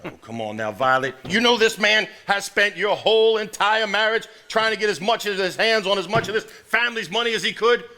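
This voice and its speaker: A stage actor playing an irritable, blunt eldest brother in a 1950s Southern family, drawling and complaining with a Southern US accent. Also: male voice, high-pitched, fairly quick, hardly any pauses, pushed and bright. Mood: accusing, irritated. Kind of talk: live stage play acting. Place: theater stage before a live audience.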